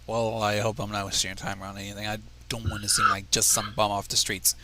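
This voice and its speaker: A man's voice: lowish voice, little pitch movement, fast, background noise, pitched below the performer's own voice, natural voice. A man voicing a shy, apprehensive young man who talks nervously and hesitantly in a story reading.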